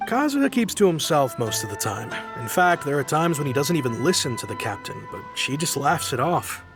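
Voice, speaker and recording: Animated, chatty young sailor. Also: male voice, on the high side, a little fast. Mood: amused, gossipy. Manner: gossipy, casual. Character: easygoing, chatty young male sailor of a fleet. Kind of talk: video game dialogue. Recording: music behind the voice.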